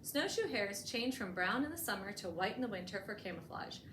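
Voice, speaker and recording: A woman reading a picture book aloud for children as a storytime host, expressively and with deliberate emphasis, adding short explanatory asides. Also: medium pitch, expressive. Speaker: librarian hosting a bedtime storytime. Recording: echoey room with clear background noise.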